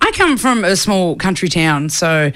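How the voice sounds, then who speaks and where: A woman's voice: lowish voice, big pitch swings, a little slow, a bit bright. A young woman from country Australia, a singer answering interview questions in a chatty way, full of fillers. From rural Australia. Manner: chatty, many fillers and restarts.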